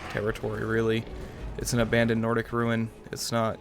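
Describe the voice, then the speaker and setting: A man's voice: lowish voice, completely flat delivery, fairly quick, vocal fry, background noise. A young man giving casual let's-play commentary as a gaming video host, musing aloud in a rambling way over game music. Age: young adult.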